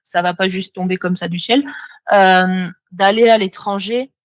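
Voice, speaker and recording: A woman's voice: mid-range voice, steady tone, measured pace, no echo. A young French woman, a motion designer, chatting as a podcast guest, talking freely with lots of fillers and restarts. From France. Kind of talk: casual podcast conversation.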